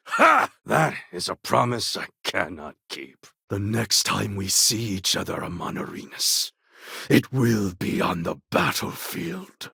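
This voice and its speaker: A man playing a proud, fierce warlord in a video game, speaking in a grandiose, commanding way with a husky, gravelly voice. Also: lowish voice, sharp tone, dry recording, clean studio sound, heavily acted. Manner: grandiose, commanding, formal phrasing. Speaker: video game character voice actor. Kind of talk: dramatic video game character dialogue.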